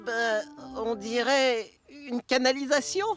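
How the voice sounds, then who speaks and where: A woman's voice: fairly high pitch, steady tone, takes it slowly, fairly bright tone, faint music behind. A woman voicing a friendly, earnest young male tank engine in a children's cartoon. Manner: eager, earnest. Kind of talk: children's animated series dialogue.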